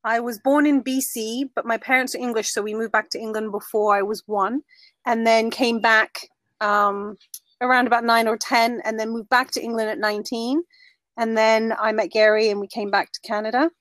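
Woman, 40s, slightly high voice, brisk and matter-of-fact, dry close sound. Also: little pitch movement, a bit bright, dry recording. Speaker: podcast guest. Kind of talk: casual interview chat.

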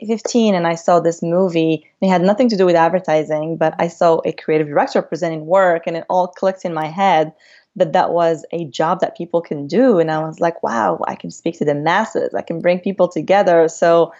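Woman from Morocco, creative director guest; lower voice, earnest, filler-heavy, muffled lo-fi recording. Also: darkish tone. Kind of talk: interview storytelling.

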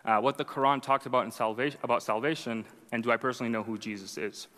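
Young guy, arabic first language, chatty testimony, bright tense voice, hall echo. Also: mid-range voice, steady tone, fast. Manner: conversational, self-deprecating, frequent "right?" asides. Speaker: speaker sharing a conversion testimony. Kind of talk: personal testimony to an audience.

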